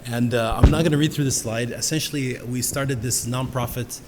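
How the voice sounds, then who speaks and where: Male panel moderator, mid-pitched, hesitant, reverberant hall with noise. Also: steady tone. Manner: halting, restarting phrases. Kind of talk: conference panel introduction. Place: large conference hall.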